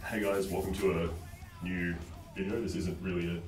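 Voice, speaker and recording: A man in his thirties with a croaky, sick-sounding voice, chatting bluntly and casually as a coach giving tips, with some swearing. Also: low voice, flat delivery, normal speed, darkish tone. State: sick with a chest infection. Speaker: host, powerlifting coach. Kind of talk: casual instructional chat. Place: small bare-walled room indoors. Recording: roomy echo, distant mic, faint music.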